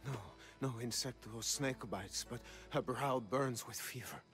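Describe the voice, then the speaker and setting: A man's voice: mid-range voice, unhurried, a bit bright, close to an everyday voice. A male actor plays an anxious villager in a game cutscene with a mid-range voice. He is sober and respectful, with faint music behind. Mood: pleading, grave. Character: anxious villager tending to the sick. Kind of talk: video game cutscene acting.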